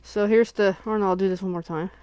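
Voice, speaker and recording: A woman's voice: medium pitch, steady tone, quick pace, darkish tone, clear, resonant tone. A sniffly woman hosting a casual crafting livestream, chatting and thinking aloud as she unboxes and works at home.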